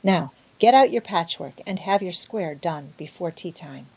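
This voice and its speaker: A woman's voice: lowish voice, expressive, a little slow, vocal fry, a little mellow, slightly rough, dry recording, exaggerated voice, low-quality recording. Woman playing a stern, brusque middle-aged guardian. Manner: brusque, scolding, no-nonsense. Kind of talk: audiobook character voice.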